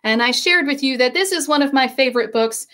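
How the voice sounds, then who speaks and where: A woman storyteller reading a picture book aloud to children in an expressive, inviting manner. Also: high-pitched, fairly quick. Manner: expressive, inviting listeners to join in. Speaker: library storyteller.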